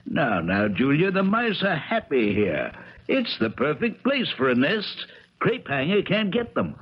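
An actor in a 1970s radio drama playing an eccentric, controlling older uncle with a courtly, theatrical, morbid manner. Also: male voice, a little high, wildly swooping pitch, measured pace, breathy edge, full character voice. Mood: fussy, soothing. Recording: dull, noisy, crackly 1970s radio recording.

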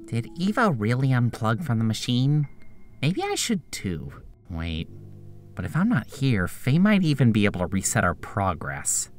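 A woman playing an exasperated, sarcastic adult technician in a video game fan dub, theatrical and expressive. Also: mid-range voice, normal speed, faint music behind, lightly acted. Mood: pondering.

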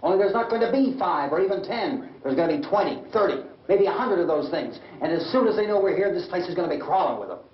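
High-strung, stubborn American man, high voice. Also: expressive, fast, few pauses. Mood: agitated, insistent. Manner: argumentative, insistent, repeats himself. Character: stubborn, combative, frightened family man hiding from the undead. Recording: dull, echoey old film soundtrack, distant.

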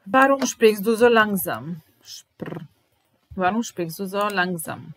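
A woman in her thirties with a Serbian accent, teaching German slowly, repeating each phrase while typing, in a small room at home. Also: mid-range voice, animated, fairly bright tone. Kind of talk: slow, deliberate language lesson.

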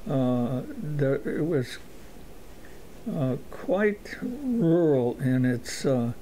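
Slow, soft-toned man in his eighties. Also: mid-range voice, very animated, a little hiss or hum, poor audio. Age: 80s. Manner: halting, many pauses and fillers, dry humor. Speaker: interviewee. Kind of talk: oral history recollection.

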